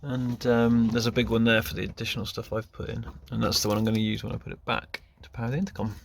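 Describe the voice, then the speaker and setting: A man's voice: medium pitch, level tone, fast talker, gravelly, background noise. A man talking casually and off the cuff as he presents a hands-on DIY demonstration, informal and self-deprecating. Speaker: car hobbyist presenting a DIY video.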